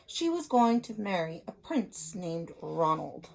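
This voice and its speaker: A woman's voice: fairly low pitch, very animated, slow, vocal fry, rough recording. A woman speaking as a reading teacher, explaining simply and reading a story aloud to children, stressing key words.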